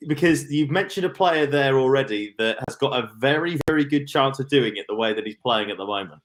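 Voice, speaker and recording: A man's voice: medium pitch, lively intonation, brisk. A man co-hosting a casual live sports chat show.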